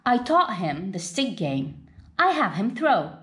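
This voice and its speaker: Woman, children's story reader, expressive read-aloud, lively intonation, medium pitch. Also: slow pace, a little hiss or hum.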